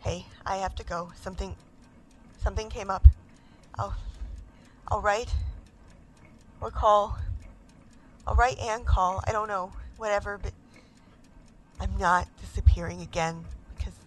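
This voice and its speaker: An actress playing a haunted, restless woman in an audio drama monologue, halting, intense and emotional. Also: medium pitch, steady tone, slight background noise, natural voice, rough recording. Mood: flustered. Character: haunted, restless woman desperate to recover her lost identity.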